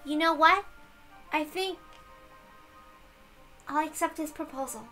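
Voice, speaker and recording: A woman's voice: high voice, slow, bright, forceful tone, faint music behind, pitched above the performer's own voice, heavily acted. A woman voicing an earnest, insistent young female servant with a big-sisterly air, in a visual novel reading. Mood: earnest, hopeful. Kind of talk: visual novel read-aloud character voice.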